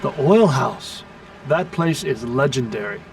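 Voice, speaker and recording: An actor playing an eager young navy plane, a folksy, wisecracking sidekick in an animated video game. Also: male voice, medium pitch, unhurried, gravelly, far from the mic, slight background noise. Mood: jokey, admiring.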